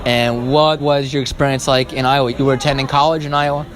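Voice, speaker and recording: A man's voice: mid-range voice, little pitch movement, fast. A teenage man conducting a conversational interview as the interviewer. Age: teens.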